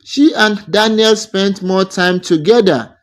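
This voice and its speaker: A man narrating a scripted romantic folktale as a storyteller, in deliberate, measured short phrases. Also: sits high.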